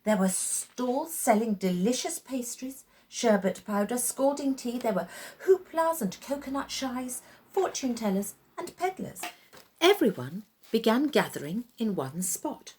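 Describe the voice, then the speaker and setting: A woman storyteller with a fairly high, very animated voice narrates a storybook tale. She takes her time, shaping phrases dramatically with deliberate pauses, over music. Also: slight background noise.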